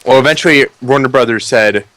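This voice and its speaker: A man's voice: medium pitch, fairly quick, fairly bright tone, no room sound. A man hosting a podcast, chatting casually about video games in a blunt, sarcastic and critical way. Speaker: podcast host. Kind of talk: casual video game review chat.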